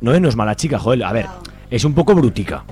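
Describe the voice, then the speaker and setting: A man's voice: medium pitch, sing-song, fast talker, a little mellow, close to an everyday voice. A man with an accent from Spain playing a randy, wheedling young protester in a comic radio serial. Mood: chatty, cheeky. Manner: wheedling, colloquial. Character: randy, pushy, camp young male protester. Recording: busy crowd sound behind.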